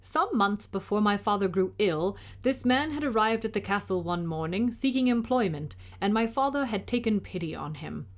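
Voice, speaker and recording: A proud little knight from a storybook, voiced by a woman reader. He speaks in formal, old-fashioned phrases with great dignity and is easily indignant. The pitch moves in lively swings. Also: moderate pace, hardly any pauses, lightly acted. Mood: grave storytelling. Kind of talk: storybook character reading.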